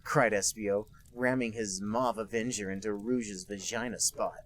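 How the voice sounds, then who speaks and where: A man co-hosting a comedy podcast, reading a fanfic aloud in a deadpan way while holding back laughter. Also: lowish voice, moderate pace.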